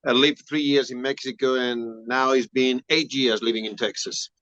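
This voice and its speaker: A man from Spain with a strong Spanish accent, talking casually as an interview guest, often pausing to search for English words. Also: mid-range voice, steady tone, a bit bright, dry recording, dull audio. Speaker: musician guest.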